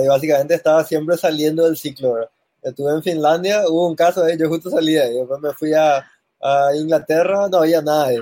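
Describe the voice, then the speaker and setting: A man's voice: fairly high pitch, warm tone. A man chatting casually as a panelist, recounting his own experience.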